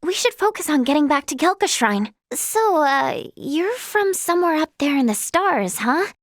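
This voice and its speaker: A woman's voice: sits high, sing-song, moderate pace, no echo, clean studio sound. A woman playing a sweet, timid, earnest teenage girl healer in a video game, with a light, girlish voice and a polite, hesitant way of talking. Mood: curious, polite. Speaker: voice actress. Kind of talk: video game character voice lines.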